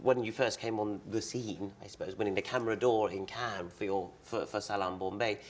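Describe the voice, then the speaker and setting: A man, a film critic, introducing a director and asking her interview questions in a measured way. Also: fairly low pitch, steady tone. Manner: measured, with short backchannel replies. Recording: music under the voice.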